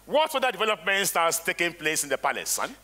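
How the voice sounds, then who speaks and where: A man with a Nigerian accent acting on stage as an anguished, excitable old retired palace guard, with a raspy, theatrical voice. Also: high voice, quick pace, heavily acted. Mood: wary, questioning. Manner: exclamatory, theatrical.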